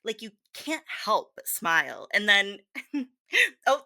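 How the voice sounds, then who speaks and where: A woman's voice: mid-range voice, big pitch swings, slow, sharp tone. A woman performing a playful hypnosis role-play, speaking in a giggly, scattered, cutesy and encouraging way. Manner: giggly, bubbly, scattered, with breaks into laughter. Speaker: hypnosis performer playing a confused teacher.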